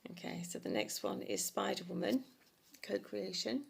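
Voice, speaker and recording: A middle-aged woman with a croaky, hoarse voice, giving a meandering oracle card reading on a livestream with many soft pauses. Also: mid-range voice, huge pitch swings.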